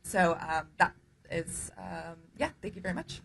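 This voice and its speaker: A woman advocate speaks at a news conference, her voice low-set but strikingly bright and forceful. She talks in a measured way, with frequent "um" pauses, over a faint hiss. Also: lively intonation, vocal fry. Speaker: human rights advocate. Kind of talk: press conference statement.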